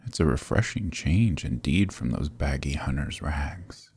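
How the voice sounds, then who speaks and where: Very deep gravelly vampire lord. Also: male voice, lively intonation, darkish tone, dry recording, exaggerated voice. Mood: seductive, appraising. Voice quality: gravelly, breathy, often dropping to a whisper. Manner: courtly, silky, teasing, menacing, drawn-out phrasing. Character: possessive, sadistic, suavely dominant male vampire lord. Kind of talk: ASMR roleplay, intimate scripted monologue.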